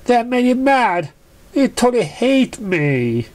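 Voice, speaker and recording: A storyteller voicing an emotional, impulsive young female winged pony in a high, squeaky, exclamatory voice for a fan story. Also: sing-song, slow, a little mellow. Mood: hurt.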